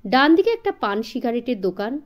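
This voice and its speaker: A woman narrating a suspense story from a script in an expressive way, as an audiobook reader. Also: on the high side, fairly quick.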